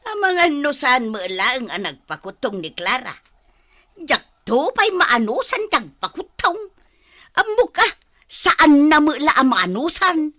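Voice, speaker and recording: A woman performs an excitable Ilocano village wife in an audio drama. Her voice sits high and is sharp and bright, with a worried, fretting edge, recorded very dry. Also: a little slow, no echo, full character voice. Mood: alarmed. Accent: Filipino, Ilocano.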